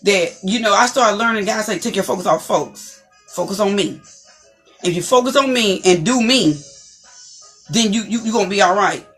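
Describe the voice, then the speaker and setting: Deep-voiced woman giving an inspirational talk. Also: normal speed, a bit bright, soft background music. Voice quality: deep, distinctive voice. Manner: preachy, encouraging, repeats phrases. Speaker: talk show host. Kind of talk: inspirational talk show chat.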